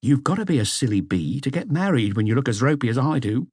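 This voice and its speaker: A man's voice: medium pitch, lively intonation, quick pace, a little mellow, husky, dry recording, pitched above the performer's own voice. A man voicing a younger, eager police inspector in an audiobook, chattily reporting to his superior. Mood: amused, confiding. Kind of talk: audiobook character dialogue.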